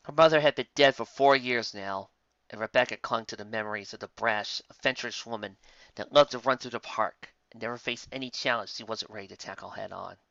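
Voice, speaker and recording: Male narrator for dramatic fiction, bright forceful tone, dry slightly dull recording. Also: mid-range voice, vocal fry, dry recording. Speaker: fan fiction reader. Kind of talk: dramatic scripted story narration.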